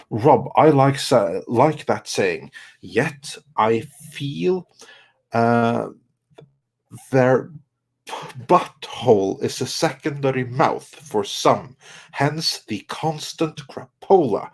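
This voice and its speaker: A man hosting an online show in casual chat, speaking haltingly, repeating words as he goes and reading out messages. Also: medium pitch, animated, breathy edge.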